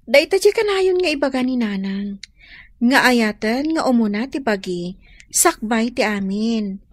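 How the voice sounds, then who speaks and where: A woman's voice: on the high side, animated, measured pace. A woman with an Ilocano accent playing a sympathetic young adult younger sister who speaks consolingly in a radio drama. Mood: soothing.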